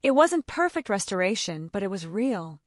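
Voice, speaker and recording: A woman's voice: mid-range voice, very animated, normal speed, no echo, clean studio sound. A woman delivering dramatic first-person scripted narration for an audio story.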